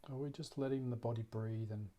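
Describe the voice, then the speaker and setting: A man guides a meditation in a middle-pitched voice that stays flat and steady. His delivery is gentle and unhurried. He leaves long pauses between short phrases, as a teacher leading a practice. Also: highs cut off.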